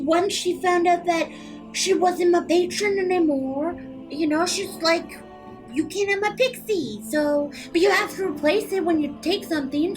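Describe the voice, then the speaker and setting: A woman's voice: extremely high pitch, poor audio. A woman voicing a chatty, flighty, easily indignant little fairy in an improvised tabletop game.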